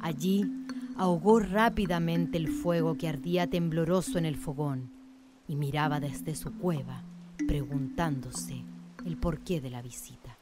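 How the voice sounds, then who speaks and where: A woman narrating a scripted radio drama in a measured, literary storytelling manner. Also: deep voice, over loud music.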